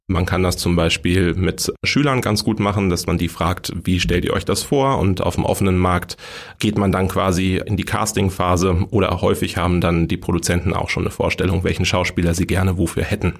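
A man's voice: deep voice, fairly even intonation, a little fast, vocal fry. A man, explaining things informally and step by step as an interview guest. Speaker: radio play workshop leader, interview guest.

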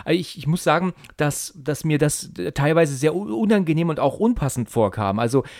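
A man with a slightly high voice interviews in a casual, conversational way. He feels his way through sentences, hesitating and rephrasing. The sound is dry, with hardly any room to it. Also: expressive, moderate pace, a little mellow, no room sound. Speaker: podcast interviewer.

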